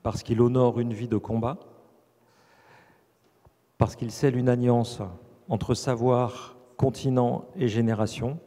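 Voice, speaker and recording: Solemn, mellow university president. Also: male voice, mid-range voice, little pitch movement. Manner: solemn, measured, formal. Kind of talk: formal ceremonial address. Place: ceremony hall. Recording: long reverb in a big hall.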